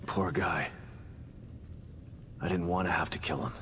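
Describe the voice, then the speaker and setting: An actor playing a weary, guilt-ridden male hero in a video game, gruff, terse and brooding. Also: sits low, a little slow, a bit bright, slight background noise. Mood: remorseful. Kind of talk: dramatic video game acting. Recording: music behind the voice.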